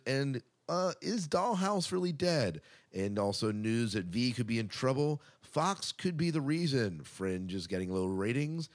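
A man hosting a podcast in a chatty, casual way, part scripted and part off the cuff, with upbeat announcing. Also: medium pitch. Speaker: podcast host.

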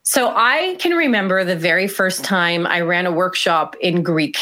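A woman author being interviewed, talking in an animated, emphatic way with small fillers. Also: lowish voice, moderate pace. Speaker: author and guest. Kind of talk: conversational interview answers.

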